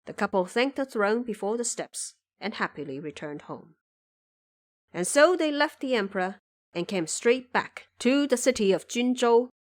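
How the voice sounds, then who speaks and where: Woman narrating a scripted audio drama. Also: medium pitch.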